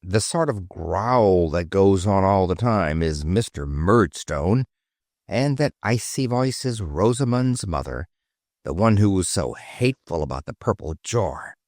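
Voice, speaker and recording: A man's voice: sits low, animated, unhurried, darkish tone, no echo, clean studio sound. A man reading aloud, voicing a young princess who speaks with sighing, confiding warnings. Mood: wary confiding. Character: young princess, weary and knowing.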